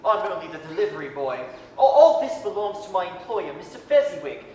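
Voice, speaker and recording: A man playing a shy, smitten young apprentice, halting and courteous, in a staged 1930s-style radio drama. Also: sits high, sing-song, darkish tone. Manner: halting, flustered, courteous stammer. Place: performing arts center stage. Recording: echoey hall, distant, faint noise.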